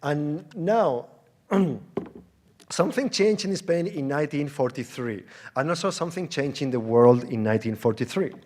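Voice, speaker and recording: A man presenting an academic conference lecture in a halting way, with false starts, repeated words and conversational rhetorical questions. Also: a little high, animated, a little mellow. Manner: explains through informal, conversational asides and rhetorical questions.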